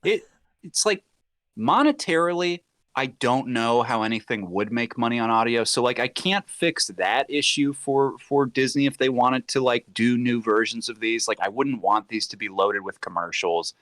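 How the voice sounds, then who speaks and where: A man's voice: medium pitch, normal speed, a bit bright, no echo. A man bantering casually about films on a podcast, riffing with mock quotes. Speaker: podcast guest.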